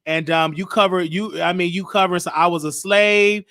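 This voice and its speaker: An American man hosting a live call-in show in a casual, animated, repetitive way. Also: high voice, moderate pace. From Chicago, United States. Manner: animated, repeats himself. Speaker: online call-in show host.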